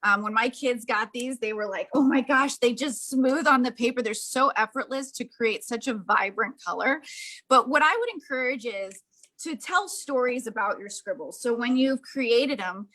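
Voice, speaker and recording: Woman, fairly high voice, art demonstrator, chatty and gushing, casual how-to delivery. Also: normal speed, a bit bright. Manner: enthusiastic, gushing. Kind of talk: casual hands-on craft demonstration.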